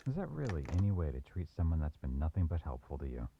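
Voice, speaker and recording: A man playing a teasing, coy young mafia boyfriend with veiled menace, in an unhurried, intimate ASMR roleplay. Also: a bass voice, big pitch swings, dull audio, background noise, heavily acted. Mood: coaxing.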